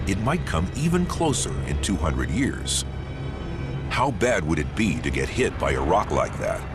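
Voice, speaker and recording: A man delivers scripted documentary narration in a low, lively voice. He phrases things deliberately and dramatically, leaving pointed pauses. Music plays loudly underneath him throughout.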